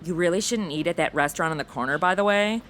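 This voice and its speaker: A woman's voice: fairly low pitch, fast talker, a bit bright, slight background noise, close to an everyday voice. An actress playing a brash, flippant young woman in a comedy audio drama, full of modern slang and sass. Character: brash, sassy modern young woman stuck in radio shows.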